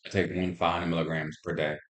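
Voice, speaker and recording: A man's voice: deep voice, flat delivery, fast. A man answering health interview questions as a patient, in brief, plain replies. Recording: roomy, echoing voice pickup.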